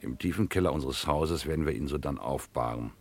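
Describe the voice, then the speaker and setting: An actor playing a pompous, scheming gentleman crook in a vintage radio crime play, patronising and grandiloquent in deliberate phrases. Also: extremely low pitch. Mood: commanding, brisk. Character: pompous, scheming gentleman crook, condescending to his dim henchman.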